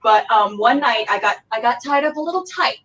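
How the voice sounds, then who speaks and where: A woman's voice: fairly high pitch, animated, fairly quick, fairly bright tone. A woman hosting a casual, chatty interview, enthusiastic and approving in how she responds. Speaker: host interviewing a theater company. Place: remote video call. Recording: dull, distant-mic video call.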